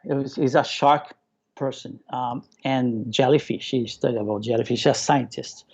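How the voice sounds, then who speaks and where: Male interview guest, Brazilian accent with island touch, Portuguese native, excitable storyteller, soft dark tone, dull lo-fi audio. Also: mid-range voice, moderate pace, slightly rough. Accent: Brazilian with a local Hawaiian touch. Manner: enthusiastic, repeats phrases, acts out remembered remarks. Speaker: guest, hobbyist aquarium keeper. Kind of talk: casual live interview storytelling.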